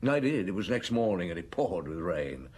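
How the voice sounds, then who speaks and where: A shrewd British detective chief in a radio play. His husky voice moves expressively up and down as he probes and reflects, measured and world-weary. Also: deep voice, big pitch swings, darkish tone, full character voice. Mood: weary, reflective. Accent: British English. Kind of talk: British radio drama acting.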